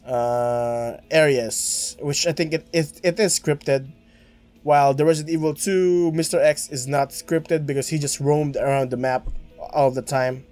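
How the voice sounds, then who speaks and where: A man's voice: a little high, fairly even intonation, unhurried, darkish tone, soft background music. A young man with a Filipino accent, chatting casually as a gaming streamer, rambling with many restarts and stammers. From Philippines. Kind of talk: casual let's-play commentary. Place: small room at home, green screen. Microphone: headset.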